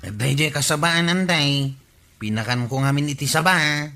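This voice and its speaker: A simple, complaining village handyman in a radio comedy drama. His voice is bright and fairly high, full of puzzled questions and exclamations. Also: normal speed, faint music behind. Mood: obliging.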